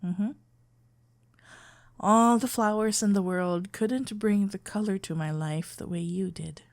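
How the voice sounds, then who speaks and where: A shy florist hopelessly smitten with a best friend, acted by a woman for a romantic ASMR roleplay. The voice is mid-pitched and very unhurried. The delivery is tender and nervous, halting and stammering through each phrase. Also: darkish tone. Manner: hesitant, stammering, halting, with whispered breathy moments.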